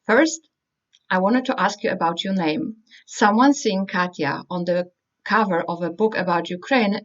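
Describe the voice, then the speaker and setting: A woman's voice: medium pitch, muffled recording. A woman hosting a book event, reading prepared interview questions in a formal manner.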